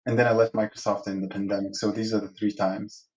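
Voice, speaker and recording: A man's voice: on the low side, little pitch movement, fast, darkish tone, slight background noise. A man in his forties from Egypt, a guest in a podcast interview, who talks in long rambling answers full of restarts and fillers. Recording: dull lo-fi sound.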